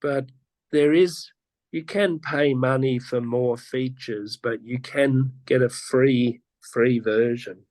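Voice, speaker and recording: A man's voice: mid-range voice, steady tone, darkish tone, no echo. A man, a teacher, patiently explaining classroom ideas in a casual online interview, often restarting his sentences. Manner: patient, slow, with frequent restarts and repeats. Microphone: video call.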